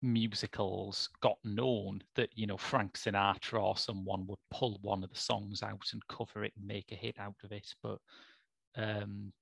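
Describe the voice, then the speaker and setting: A man's voice: lowish voice, flat delivery, unhurried, creaky, fairly bright tone, no echo. A man co-hosting a casual podcast chat, with a dry, wry sense of humor and a thoughtful way of talking. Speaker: podcast co-host.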